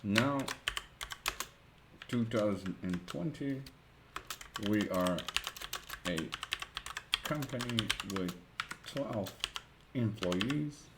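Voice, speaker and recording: A man with a Dutch accent, a Dutch native speaker, narrating a screen-recorded web design tutorial, thinking aloud with many pauses and fillers. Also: lowish voice, animated, heavy background noise.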